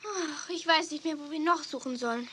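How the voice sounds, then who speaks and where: A woman's voice: sits high, fairly quick, fairly bright tone. A woman playing a spirited, outspoken young girl in a children's audio play. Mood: anxious. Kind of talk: 1980s German children's audio play acting. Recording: noisy old cassette audio play.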